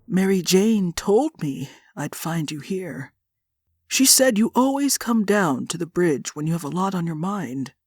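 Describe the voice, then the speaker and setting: A woman playing a gentle older aunt in a comic-book fan dub, conversational, with a husky voice. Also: fairly low pitch, expressive, measured pace, dry recording, clean studio sound.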